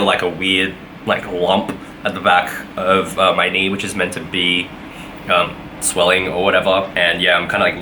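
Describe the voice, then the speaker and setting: A man's voice: low voice, pushed and bright, a little hiss or hum, poor audio. A young man giving a casual weekly vlog update, rambling with lots of fillers and self-corrections. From Australia. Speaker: vlogger.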